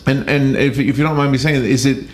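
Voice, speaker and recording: A man hosting live talk radio in a casual, halting way, often repeating words. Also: mid-range voice, fairly even intonation. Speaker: radio host. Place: radio studio.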